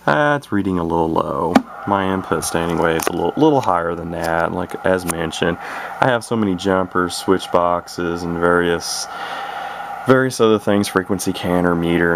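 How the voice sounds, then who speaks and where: A man with a deep, fry-laden voice talks casually through a piece of his collection. He rambles, hesitates and doubles back on words. The amateur recording has clear background noise. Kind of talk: casual product demonstration. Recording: noisy amateur camera recording.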